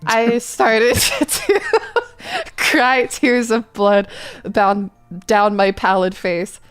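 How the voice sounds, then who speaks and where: A woman's voice: on the high side, little pitch movement, extremely slow, smooth. A woman doing a comedic dramatic reading as a streamer, giggly and stumbling, with casual asides. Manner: giggly, stumbles over the text. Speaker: streamer reading fan fiction aloud.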